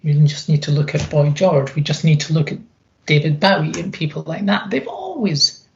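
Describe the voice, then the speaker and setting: A middle-aged woman with a deep, gravelly voice, speaking earnestly and reflectively as an interview guest, repeating phrases for emphasis. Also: animated, normal speed, darkish tone, dull audio.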